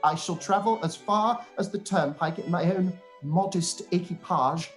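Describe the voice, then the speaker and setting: A man's voice: sits high, a little slow. A young clergyman in a period radio drama. He is pompous and fawning, delivering long formal sentences with unctuous self-satisfaction, with faint music in the recording. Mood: self-important. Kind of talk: live-read radio play, letter read in character.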